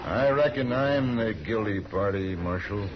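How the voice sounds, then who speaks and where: An American actor playing a cocky, menacing young gunslinger in a 1950s radio Western, cool and insolent. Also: male voice, on the low side, unhurried, lightly acted. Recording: dull, hissy 1950s radio recording.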